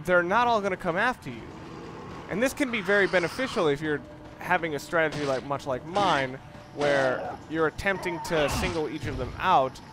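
Man, slightly high voice, halting analytical let's play commentary, clear background noise from game audio. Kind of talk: unscripted gameplay commentary. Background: video game sounds and music.

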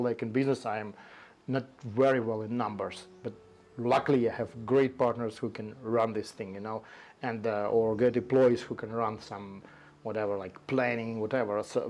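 A man in his forties with a Russian accent, speaking English as a second language in a halting, casual conversation on an outdoor balcony. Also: medium pitch, a little mellow. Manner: halting, restarts often, non-native grammar. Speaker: tea company host. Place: covered balcony outdoors, forest behind.

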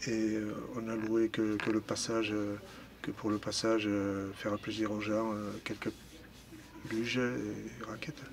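A man's voice: lowish voice, little pitch movement, measured pace. A middle-aged man giving hesitant, casual interview answers in a small indoor storeroom. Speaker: ski rental shopkeeper. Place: narrow indoor storeroom of ski boots. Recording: faint room noise.

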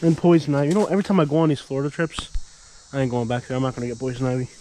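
A man in his thirties vlogging casually outdoors, chatting and thinking out loud with lots of fillers, filmed on a handheld camera. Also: medium pitch, fairly even intonation, very fast, slight background noise, rough recording. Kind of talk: casual walking vlog. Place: outdoors at an empty lot, open air. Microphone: handheld action camera at arm's length.

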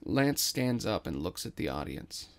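A man's voice: sits low, very animated, unhurried, creaky. A man reading scripted narration and scene directions as the narrator of an audio drama.

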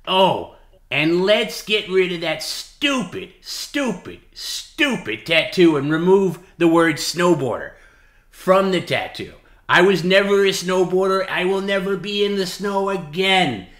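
A man's voice: high-pitched, big pitch swings, a little slow, fairly bright tone. A man hosting a livestream, reading out dramatically and repeating himself in an emphatic, goading way. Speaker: livestream host. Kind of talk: dramatic reading mixed with casual talk. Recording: roomy, echoey sound.

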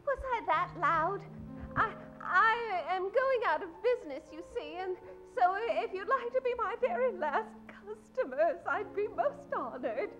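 A woman's voice: extremely high pitch, lively intonation, unhurried, fairly bright tone, highs cut off, soft background music, full character voice. A middle-aged woman playing a fretful, sweetly fussy dressmaker in old-time radio comedy, hesitant and quavering. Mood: tearful. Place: theatre stage. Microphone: vintage-style stand microphone.

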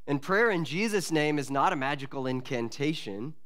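A man in his forties, a pastor, preaching an expository sermon from a lectern mic in a church, earnest and measured with pauses and restarts. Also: fairly high pitch, expressive, a bit bright. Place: church sanctuary at a wooden lectern. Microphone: lectern microphone.

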